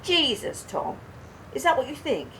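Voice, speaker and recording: A woman's voice: sits high, big pitch swings, unhurried, vocal fry, bright, forceful tone, slight background noise, heavily acted, poor audio. Actress as a wry, defiant woman in radio drama. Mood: sharp, challenging. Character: adult woman, wry, sharp, defiant, confessing to her partner. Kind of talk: naturalistic radio play acting, dialogue and monologue.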